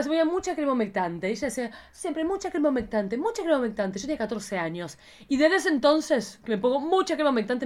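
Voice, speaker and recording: Female host, Argentine accent. Highish voice, exclamatory and campy, chatting informally with dramatic emphasis. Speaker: podcast host. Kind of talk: casual conversational chat.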